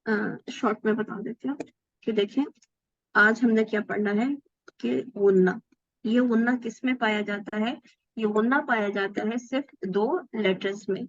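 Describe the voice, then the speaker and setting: A woman's voice: mid-range voice, breathy edge, no room sound, highs cut off. A woman teaching a Quran recitation class online, patiently explaining rules and asking rhetorical questions.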